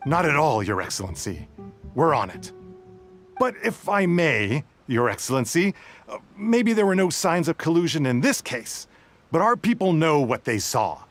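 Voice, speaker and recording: A dutiful guard from a game, fairly high and expressive. He is earnest and respectful, with a suspicious streak, over faint music. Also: normal speed. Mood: concerned, respectful. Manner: deferential, earnest. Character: dutiful, suspicious young subordinate guard reporting to leader. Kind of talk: scripted video game dialogue.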